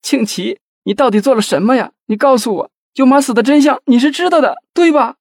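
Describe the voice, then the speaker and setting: Desperate young wife, high voice, tearful pleading, demanding answers, dry audiobook sound. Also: female voice, brisk, a little mellow, no echo. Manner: pleading, insistent. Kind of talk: audiobook character dialogue.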